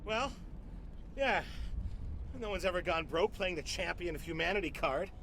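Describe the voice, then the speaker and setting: A desperate corporate villain for a video game. The voice is high and sharp, agitated and prone to stammering. Faint noise sits behind it. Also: male voice, moderate pace. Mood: flustered, cynical. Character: jittery male corporate boss villain, desperate, snappish, cornered.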